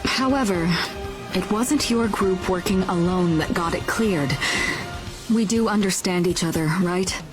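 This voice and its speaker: An actress playing a composed, shrewd woman in authority in a video game drama, with a measured delivery. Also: on the low side, music in the background, natural voice. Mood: stern, skeptical.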